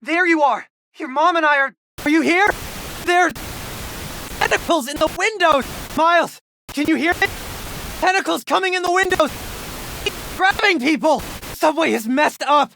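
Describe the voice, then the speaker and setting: A man's voice: extremely high pitch, normal speed, creaky, long pauses, pushed and bright, a little hiss or hum, full character voice. A young American man voicing an eager, geeky teenage boy, a loyal tech sidekick in a video game, informal and earnest. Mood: panicked.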